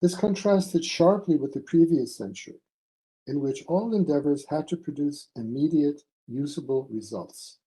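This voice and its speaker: Man reading a scholarly paper, very dark-toned. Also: on the high side, poor audio. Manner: measured, deliberate phrasing in short segments. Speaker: academic presenting a conference paper. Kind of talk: formal scholarly lecture read from a prepared text.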